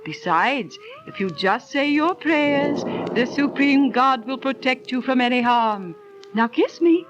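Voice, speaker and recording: High-voiced village mother, old radio. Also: animated, unhurried, muffled recording. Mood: firm, tender. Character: brisk, loving village mother, anxious for daughter. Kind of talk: 1930s radio drama acting. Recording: noisy old 1930s radio transcription.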